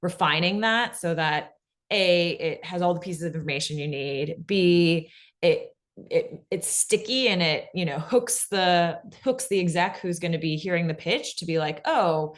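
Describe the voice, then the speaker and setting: A woman's voice: fairly low pitch, expressive, a little slow. A woman speaking as an expert guest in a casual panel talk, explaining things informally and often saying "like" and "you know". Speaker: expert guest on pitching audio drama.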